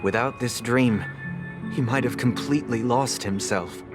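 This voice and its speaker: A man voicing a solemn, composed young gentleman in a video game, formal and measured, with music behind him. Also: medium pitch, lightly acted. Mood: solemn, reflective.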